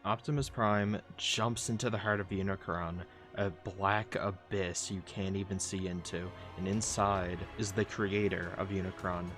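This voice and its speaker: A man's voice: low-pitched, steady tone, a little slow, hardly any pauses. A man reading scripted, dramatic narration for a story recap video, with music playing behind him. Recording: loud music under the voice.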